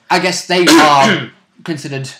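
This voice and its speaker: Casting: male gamer, bright mid-pitched voice, rambling playful chat, roomy sound. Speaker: player in a tabletop role-playing game. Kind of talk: casual game chat. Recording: roomy, echoing room sound.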